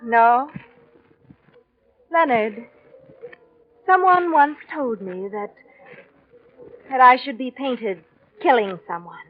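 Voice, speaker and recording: An actress playing a seductive, secretive, self-assured art patron with a coy, measured delivery in a 1940s radio drama. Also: female voice, a little high. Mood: seductive, insistent. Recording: dull lo-fi 1940s radio recording.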